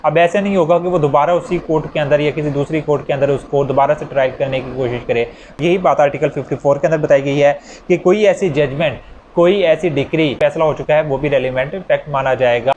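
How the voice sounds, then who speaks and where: A male lecturer with a Pakistani accent and a voice pitched a little high explains things didactically. He restates each point in plainer terms and works through examples. The recording is dull and lo-fi, with faint noise behind him. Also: fairly even intonation, brisk, darkish tone. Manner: didactic, restating key points in simpler words. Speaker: law lecturer.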